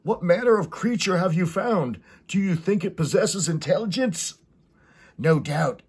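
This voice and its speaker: A man's voice: sits high, expressive, a little slow, darkish tone, husky, heavily acted. A man voicing an aloof, coolly curious adult giant from a comic story, speaking in formal, stilted pronouncements. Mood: dismissive.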